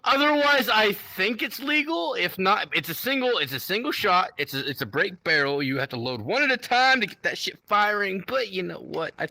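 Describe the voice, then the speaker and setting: A brash American man chatting on a podcast, boastful and profane, with a high, very lively voice that leaps around. His tone is bright and sharp, and the sound is dry and close. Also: normal speed, vocal fry, hardly any pauses, no echo. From United States. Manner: brash, profane and boastful, swings from loud to quiet. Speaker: podcast co-host. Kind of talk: casual chat.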